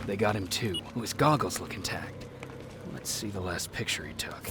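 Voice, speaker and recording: A man playing a low-voiced, grim resistance soldier. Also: normal speed, noisy background, close to an everyday voice. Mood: hushed, grim. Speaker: soldier protagonist and narrator. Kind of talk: video game dramatic acting. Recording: loud music under the voice.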